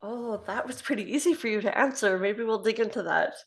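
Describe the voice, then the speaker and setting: A woman in her forties interviewing a guest over a video call, friendly and laughing often as she asks rapid-fire questions. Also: a little high. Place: home office with piano. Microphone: laptop webcam on video call.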